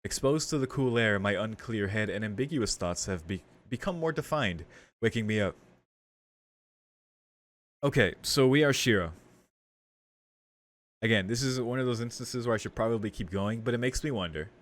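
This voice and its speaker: A man's voice: fairly low pitch, lively intonation, normal speed, pauses often. A man doing a casual game playthrough, reading text aloud and commenting, with game music underneath. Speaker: game streamer.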